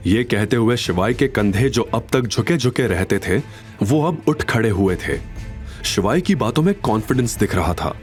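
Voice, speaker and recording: A man's voice: lowish voice, lively intonation, moderate pace. A man narrating a scripted audio drama in a dramatic storytelling style. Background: background music.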